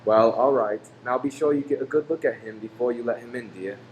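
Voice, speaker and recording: A gentle Victorian husband acted by a man in a radio play, with a mid-range voice. He is placating, hopeful and earnest. The sound is roomy, with faint background noise. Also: medium pitch, fairly quick, close to an everyday voice. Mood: cautious. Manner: soothing, earnest. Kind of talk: old-time radio play acting. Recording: roomy stage recording, faint hum.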